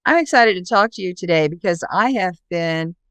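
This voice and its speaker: A woman's voice: on the low side, animated, normal speed, a bit bright, clear, resonant tone, no echo. A woman hosting a podcast, moving between a read introduction and interview talk. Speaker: podcast host.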